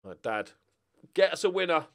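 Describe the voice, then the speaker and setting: Animated man with a bright, lively voice. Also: fairly high pitch, moderate pace. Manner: animated, sweary, talks to players and viewers. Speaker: gaming streamer. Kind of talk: casual football manager game commentary. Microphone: close desk microphone.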